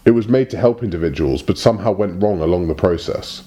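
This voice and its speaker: Man playing a pompous, mellow-voiced Time Lord messenger. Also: deep voice, fast, close to an everyday voice. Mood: formal, portentous. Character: pompous, evasive alien Time Lord messenger in smart suit. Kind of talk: parody audio drama acting.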